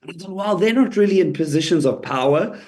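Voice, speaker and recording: A man's voice: high voice, expressive, quick pace, a little mellow. A man guesting on an interview as a comedian, telling his story in a chatty way, laughing easily and restarting his sentences often.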